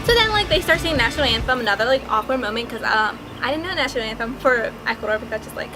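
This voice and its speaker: Young adult woman, high-pitched, casual storytime vlogger, chatty "like"-heavy delivery, loud music bed. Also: lively intonation, racing. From United States. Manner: chatty, peppered with "like", acts out her past self.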